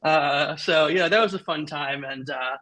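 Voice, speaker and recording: A man in his thirties co-hosts a relaxed tech talk over a video call. He rambles and fills his sentences with little verbal tics. His voice is bright and sits a bit high. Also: moderate pace. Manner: rambling, full of "like" and "you know". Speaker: podcast co-host. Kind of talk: casual chat.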